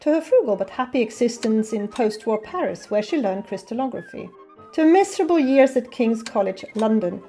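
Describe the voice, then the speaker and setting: Mellow-voiced woman narrating a documentary. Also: mid-range voice. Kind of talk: scripted film narration. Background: faint music.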